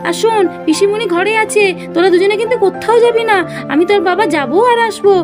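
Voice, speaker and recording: A woman's voice: extremely high pitch, quick pace, over music. A woman voicing a busy mother in a doll cartoon, coaxing and giving instructions. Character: busy mother, fussing and soothing.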